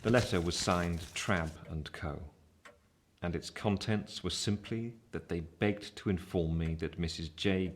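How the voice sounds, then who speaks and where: An actor playing a reflective Victorian gentleman narrating his own life story, measured and literary. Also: low voice. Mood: solemn. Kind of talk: scripted narration.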